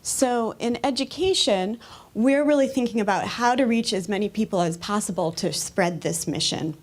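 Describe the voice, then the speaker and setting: A woman's voice: medium pitch, normal speed. An American woman, a museum educator, giving an upbeat explanatory talk on a conference panel. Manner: upbeat, explanatory, occasional fillers. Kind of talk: presentation talk to an audience.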